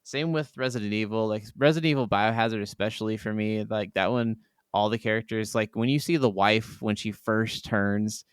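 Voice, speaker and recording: A man with a clear, smooth voice, slightly low in the middle range, talks casually as a podcast co-host. He rambles emphatically and swears freely, and the sound is close and dry. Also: moderate pace, no room sound. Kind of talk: casual chat about video games.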